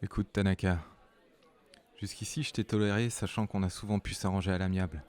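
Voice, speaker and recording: Actor as a low-voiced, threatening army captain. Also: male voice, little pitch movement, fairly quick, darkish tone, clear, resonant tone, lightly acted. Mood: firm, threatening. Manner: authoritative, blunt, colloquial, threatening. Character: strong, weary military captain of noble authority, pressuring an informant. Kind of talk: acted dramatic dialogue.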